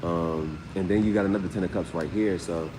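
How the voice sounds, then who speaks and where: A man's voice: sits low, steady tone, a little fast, background noise. A man hosting a live tarot reading, talking in a casual, rambling, conversational way with frequent filler phrases. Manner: rambling, conversational, repeats "you know what I'm saying".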